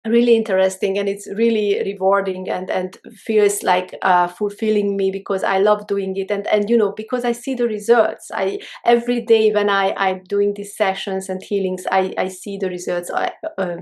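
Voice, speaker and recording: A middle-aged woman with a Hungarian accent, a guest in a casual interview, speaking in a halting way with many restarts. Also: mid-range voice, flat delivery, darkish tone. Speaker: guest, holistic mentor.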